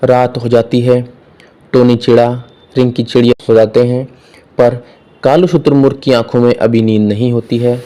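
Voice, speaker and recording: A male narrator with a soft, dark timbre and a middling pitch. He reads a children's tale as scripted storytelling. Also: fairly even intonation, normal speed.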